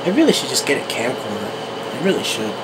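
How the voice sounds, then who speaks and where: A man's voice: mid-range voice, big pitch swings, fast. A young man from New Jersey with a central New Jersey accent, rambling casually to his camera in a solo vlog. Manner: rambling, with many restarts and fillers, laughing at himself. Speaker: vlogger. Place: room at home, talking to a camera on a tripod. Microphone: camera microphone. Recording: noisy home camera recording.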